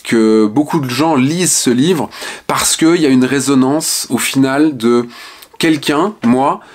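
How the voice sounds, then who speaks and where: Middle-aged french man, candid, halting with fillers, home room, mid-pitch voice. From Ardennes, France. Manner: frank and reflective, many hesitant "euh" fillers. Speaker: author and online speaker. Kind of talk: personal storytelling to camera. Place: small home room with shelves and lamp.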